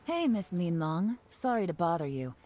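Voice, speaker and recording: An actress playing a calm, cunning female spymaster who gives orders to her agents. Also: lowish voice, normal speed. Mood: coaxing. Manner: calm, sly and commanding. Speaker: commander of agents. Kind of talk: game voice acting.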